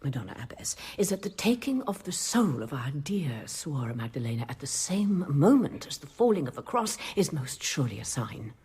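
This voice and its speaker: An actress playing a devout, severe older nun in a radio drama, husky and breathy, pressing her points with pious disapproval. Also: sits low, big pitch swings, normal speed, few pauses, dark tone, exaggerated voice. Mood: pious, accusing. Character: devout, severe, zealous elderly nun, stubbornly challenging authority.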